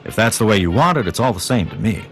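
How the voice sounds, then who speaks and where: Music plays behind a gruff video game doctor in a wasteland town. He is curt, grumbling and blunt, and his pitch swings widely as he talks. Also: animated, fast talker. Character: gruff, grudging town doctor in a post-apocalyptic settlement. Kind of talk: video game character dialogue.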